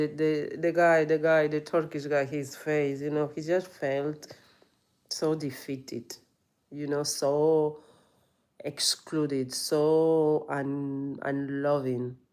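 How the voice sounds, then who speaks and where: A woman's voice: sits low, steady tone, slow pace, pauses often, darkish tone. A woman yoga teacher in a reflective personal monologue, earnest and rambling, often saying "you know".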